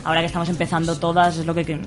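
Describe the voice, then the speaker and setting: A woman's voice: on the low side, monotone, rapid-fire. A woman with an accent from Spain, chatting informally and jokily as a guest player in a radio interview. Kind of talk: casual chat. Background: music.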